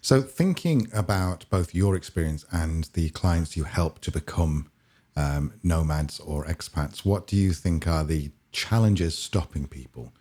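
A man hosting an interview, chatting in a relaxed way with fillers. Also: rumbling low, measured pace, husky. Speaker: podcast host. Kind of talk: casual interview chat.

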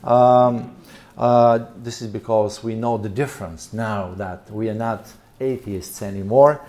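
A man in his fifties from Bulgaria, with a Bulgarian accent, giving a seminar talk as a missionary, pausing often on fillers and repeating words. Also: on the low side, fairly even intonation, dark tone. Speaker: missionary and church planter speaking at a seminar. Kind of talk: seminar presentation. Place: seminar room with an audience.

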